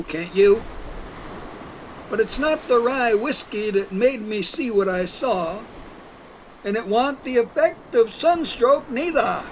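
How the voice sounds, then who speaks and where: A man's voice: high voice, slight background noise, poor audio. A man playing a folksy, stubborn man in his eighties from New Hampshire, rambling through his tale in a rural New England dialect for an audio play. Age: 80s. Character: folksy, stubborn eighty-four-year-old New Hampshire whiskey drinker. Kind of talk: first-person audio play storytelling.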